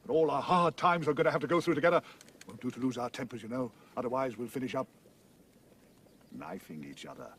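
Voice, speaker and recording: A man playing a lugubrious, fatalistic marsh-dwelling creature in an audio drama, speaking in a doleful, deliberate manner. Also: medium pitch, expressive, a bit bright, a little hiss or hum. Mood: gloomy resolve. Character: gloomy, lugubrious marsh-wiggle guide, dourly fatalistic grown male creature.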